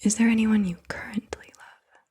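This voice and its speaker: A woman reading questions in a soft, breathy ASMR whisper, gentle and unhurried. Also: a little high, steady tone. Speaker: ASMR host asking questions. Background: computer keyboard typing.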